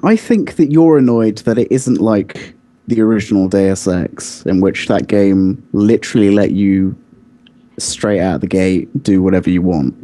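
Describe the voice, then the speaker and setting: Low, mellow-voiced man. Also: unhurried. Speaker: podcast co-host. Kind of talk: casual discussion of video games.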